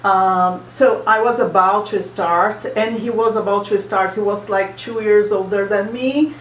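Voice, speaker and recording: A woman's voice: medium pitch, steady tone, a little slow, slight background noise. A woman from Brazil, a student guest, speaking earnestly and reflectively in a casual discussion. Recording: echoey room, far from mic.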